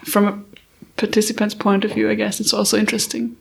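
A woman's voice: mid-range voice, monotone, fast, vocal fry, slight background noise, low-quality recording. A woman co-hosting a podcast, asking the guest careful questions. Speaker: podcast co-host.

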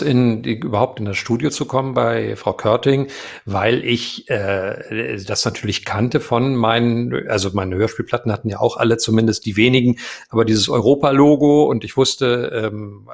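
A man talks as an interview guest, rambling and hesitant, full of "äh" and restarts. His mid-pitched voice has a gravelly, creaky edge. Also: normal speed. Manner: rambling, hesitant, many fillers. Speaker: guest, radio play voice actor. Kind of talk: casual interview chat.

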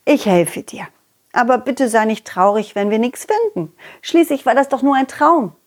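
A woman voicing a confident, loyal, cheerful dog who tells stories to children in an excitable, childlike way, as in an audio play. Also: on the high side, normal speed. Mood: eager narrating. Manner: excitable, childlike, speaking directly to child listeners. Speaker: puppeteer and storyteller.

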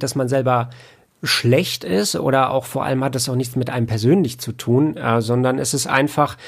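A man's voice: mid-range voice, creaky. A man speaking as a guest in a casual interview, explaining things in a rambling, conversational way with many filler phrases. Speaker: interview guest, audio drama producer and author.

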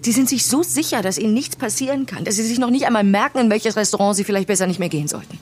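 A woman's voice: mid-range voice, very fast, darkish tone, slight background noise, lightly acted. An actress playing a defiant, wounded woman in an audio drama, speaking with emphatic intensity. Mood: bitter, hurt. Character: wronged woman, defiant, frightened and bitter. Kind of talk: naturalistic audio drama acting.

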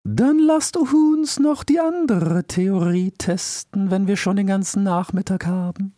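Man voicing an old turtle scholar, high voice, very slow, grave, dry studio sound. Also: mellow, dry recording. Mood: solemn. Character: slow, solemn, aged male turtle scholar.

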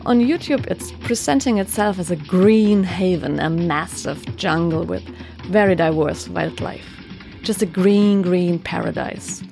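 A woman reporter narrating a documentary report in a clear, steady, explanatory way. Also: lowish voice, unhurried, soft background music.

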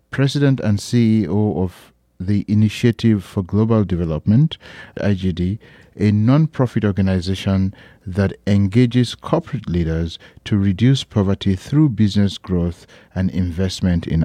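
A man hosting a radio interview, introducing his guest and asking questions in a formal, unhurried way. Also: lowish voice, warm tone, no echo. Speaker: radio show host.

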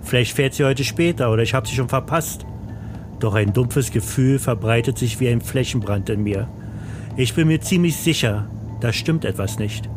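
A man playing a dull, solitary accountant in a thriller audio drama, narrating in a measured, sombre way. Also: medium pitch, music in the background, lightly acted. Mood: uneasy, brooding. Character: dull, solitary male accountant, rigid and uneasy.

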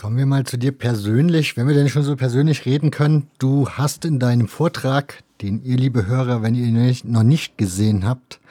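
A man's voice: mid-range voice, normal speed, few pauses, mellow, husky, no echo, studio quality. A man hosting a podcast, asking questions in a conversational interview style.

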